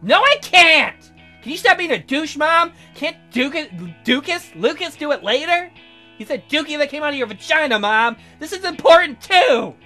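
A young punk girl musician from a visual novel, acted by a woman, brash and rebellious. She is high, loud and animated, with a cheeky, exasperated edge over background music. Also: normal speed.

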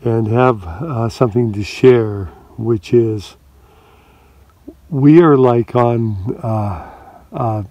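A man sharing a spiritual reflection outdoors by a shoreline, talking softly and haltingly with many pauses and fillers. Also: mid-range voice. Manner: slow, soft-spoken, halting with many fillers. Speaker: meditation teacher. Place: lakeshore outdoors.

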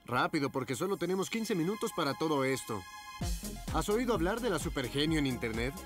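A lively, swooping male voice for a brisk, coaxing cartoon fashion photographer. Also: on the high side. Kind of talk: animated cartoon dub. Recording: music behind the voice.

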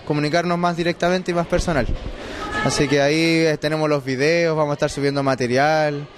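Slightly high-voiced man. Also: little pitch movement, brisk. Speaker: musician interviewee. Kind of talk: informal interview answers. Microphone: handheld microphone held by interviewer. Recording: faint ambient noise.

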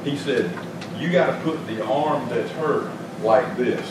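A middle-aged man preaching a sermon in a small church, talking conversationally with rhetorical questions and swinging between raised emphasis and quiet asides. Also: mid-range voice, measured pace. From Georgia, US. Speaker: pastor. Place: small church sanctuary, indoors. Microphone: stand mic at pulpit, filmed by far camera. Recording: very echoey church room, distant mic, noisy.

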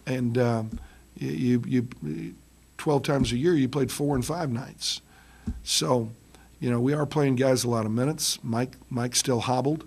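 A middle-aged man, a basketball head coach, answering reporters after a loss, halting with many ums and restarts, speaking into a table microphone. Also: medium pitch, gravelly, darkish tone, breathy edge. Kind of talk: post-game press conference. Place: press room with curtain backdrop. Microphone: table mic on stand.

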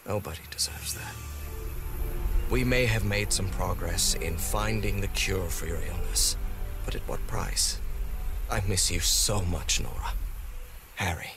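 Low-voiced solemn explorer husband. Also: male voice. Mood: sorrowful, longing. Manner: solemn, tender, measured. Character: earnest, troubled explorer husband writing to his wife. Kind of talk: letter read as game voice-over. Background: music.

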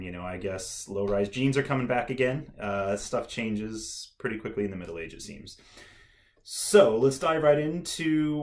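Young man casually explaining history, lowish voice. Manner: relaxed, many ums and uhs, nerdy enthusiasm. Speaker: history video host. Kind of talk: casual educational talk to camera. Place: small room indoors, dark backdrop.

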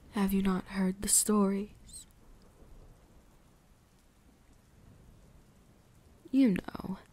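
Woman doing a vampire huntress for asmr roleplay, breathy whispers w creaky edges, really slow, commanding and teasing, quietly menacing. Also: mid-range voice. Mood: coy, predatory. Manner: slow, deliberate, softly menacing.

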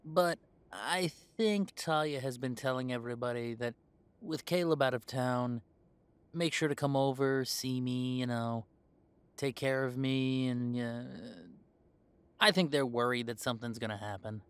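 Casting: easygoing, confident young male werewolf played by a man for roleplay audio; medium pitch, conversational and hesitant, close dry sound. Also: measured pace, clean tone, dry recording, natural voice. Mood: reflective, easygoing. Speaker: voice actor. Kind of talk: roleplay audio drama, casual one-sided conversation.

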